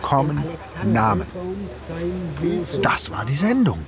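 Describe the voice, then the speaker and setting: Gravelly guy explaining history, slow dramatic pauses, loud crowd behind him. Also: fairly high pitch, wildly swooping pitch, full character voice. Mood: emphatic, deliberate. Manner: deliberate, emphatic pauses.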